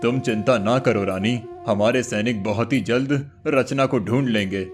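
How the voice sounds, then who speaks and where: Man voicing a composed king, medium pitch. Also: moderate pace, faint music behind, natural voice. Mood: composed, reassuring. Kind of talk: Hindi cartoon story dubbing.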